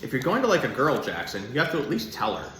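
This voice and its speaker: A man's voice: medium pitch, wildly swooping pitch, fast, a bit bright. A man voicing a curious, supportive young boy in a children's storybook. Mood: encouraging. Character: Cooper, curious, pushy, supportive young boy best friend. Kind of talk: children's storybook read-aloud. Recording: roomy echo, some background noise.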